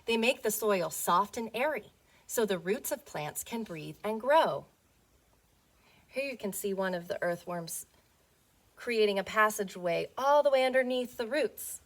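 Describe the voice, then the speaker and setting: A woman teacher reading a picture book aloud to young children, playful and expressive, often putting questions to them. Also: mid-range voice, a little slow.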